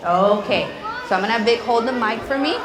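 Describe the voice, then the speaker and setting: A woman storyteller talking to young children in simple, coaxing instructions and animated read-aloud, at a school. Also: on the high side, a little fast. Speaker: children's storyteller and puppet show presenter at a school. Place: large echoey room at a school, with an audience. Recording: echoey room with noisy crowd of children.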